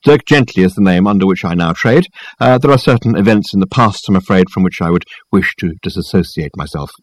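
A man's voice: low-pitched, fairly quick, dry recording. A man voicing a flamboyant, pompous detective in an audiobook, lecturing theatrically and emphatically. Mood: confiding, self-important. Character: flamboyant, pompous self-styled detective, grandly professorial man. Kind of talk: dramatised audiobook dialogue.

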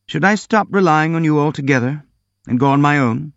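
A man's voice: a little high, brisk, creaky, dry recording, muffled recording, pitched above the performer's own voice. A man voicing a subdued, gloomy young prince. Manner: quiet, subdued.

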